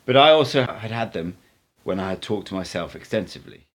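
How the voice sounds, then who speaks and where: A man, an amateur reader, narrating an audiobook in an expressive storytelling style. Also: lowish voice, fairly quick.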